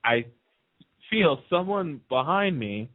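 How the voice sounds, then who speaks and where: A man who works as a radio host, chatting casually and telling fan anecdotes in an excited, dramatic way. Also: on the high side, takes it slowly, no echo, phone-quality sound.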